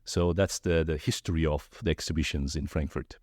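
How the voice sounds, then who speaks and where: A middle-aged man from Turkey, a guest in a podcast interview, telling stories in a friendly, animated way with frequent restarts. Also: rumbling low, brisk, dry recording, studio quality. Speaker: guest, trade fair executive. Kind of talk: conversational interview.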